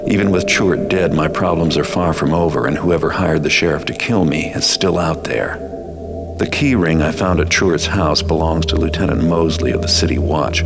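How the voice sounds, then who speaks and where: A world-weary master thief with an extremely low, gravelly voice. He narrates his game missions in measured, deadpan noir fashion, cool and wry, with clear music playing underneath. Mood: brooding, wary.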